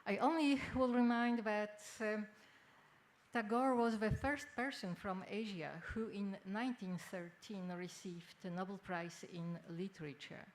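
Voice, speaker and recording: An older woman from Poland with a Polish accent gives a formal conference lecture in halting, hesitant phrases. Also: medium pitch, steady tone. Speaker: academic speaker, textile researcher. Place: indoor symposium venue. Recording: noisy live symposium room recording.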